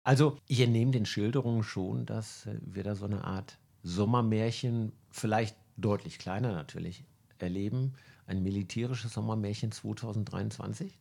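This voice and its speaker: A man's voice: lowish voice, moderate pace, creaky, a little mellow, breathy edge. A man moderating a panel, asking inquisitive leading questions.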